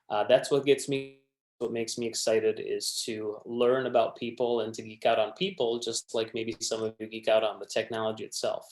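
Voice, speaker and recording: A man's voice: lowish voice, darkish tone, roomy sound, poor audio. A man who was raised in Michigan, a recruiter giving a friendly, casual resume workshop to students, with many fillers and restarts. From born in Romania, raised in Michigan.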